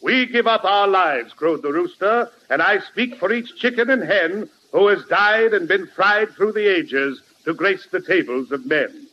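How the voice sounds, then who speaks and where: A man's voice: sits high, animated, a little slow, hardly any pauses. A man playing a pompous, hammy poet, declaiming rhymes grandly in 1940s radio comedy style. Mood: grandiose. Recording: dull, hissy old radio recording.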